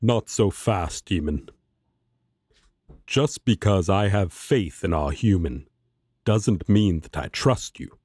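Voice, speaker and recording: A man's voice: low-pitched, animated, slow pace, pauses often, a little mellow, slightly rough, no room sound, clean studio sound, full character voice. A man playing an earnest, righteous, devoted guardian angel in an audio roleplay, speaking formally and solemnly. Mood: suspicious, stern.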